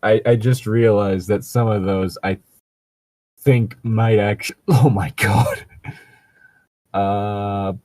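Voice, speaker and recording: A low-pitched man recorded close and dry, chatting casually as a comedy show host. He rambles, swears freely and sounds giggly, slipping between banter and reading aloud. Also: steady tone, slow pace, long pauses, darkish tone, no echo. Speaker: host of a bad fan fiction reading show.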